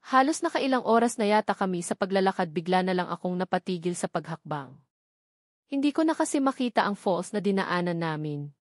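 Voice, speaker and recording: A woman's voice: medium pitch, a little mellow, no echo, studio quality. A woman with a Filipino accent reading a first-person story as a scripted audiobook narration.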